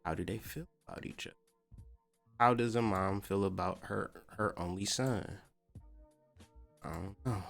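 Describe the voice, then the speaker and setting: A man's voice: low-pitched, a little slow, gravelly, long pauses, faint music behind. An American man hosting a podcast, talking in a loose, slangy, stop-and-start monologue about spiritual ideas. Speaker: podcast host.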